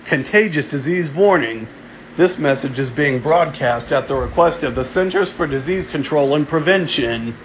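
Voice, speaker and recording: A man playing a solemn emergency alert announcer in an amateur mock broadcast, deliberate and halting, restarting his phrases. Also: fairly high pitch. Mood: urgent warning. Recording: dull lo-fi home recording, noticeable background hum.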